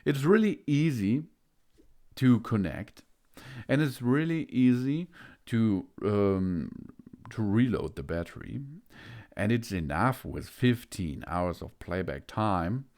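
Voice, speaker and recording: A man giving an unboxing walkthrough of a gadget, hesitant, with many filler pauses. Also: on the low side, lively intonation, a little mellow. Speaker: product reviewer.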